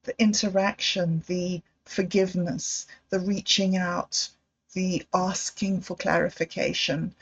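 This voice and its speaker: Middle-aged woman, livestream host; slow, unhurried delivery, reflective and halting, on muffled lo-fi audio. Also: on the low side, flat delivery. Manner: halting, reflective, with many restarts and apologies. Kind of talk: rambling personal livestream talk.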